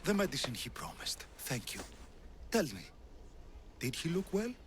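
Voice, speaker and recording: An actor playing a gentle, concerned male patient in a video game cutscene. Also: on the high side, sing-song, moderate pace, slight background noise.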